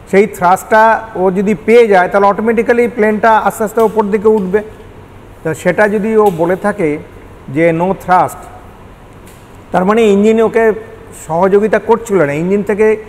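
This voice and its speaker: A man's voice: high-pitched, moderate pace. An older man with a Kolkata Bengali accent, explaining step by step as an expert interview guest and mixing in English technical terms. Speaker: expert guest, former air traffic control manager. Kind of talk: explanatory news interview answers. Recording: echoey hall with background noise.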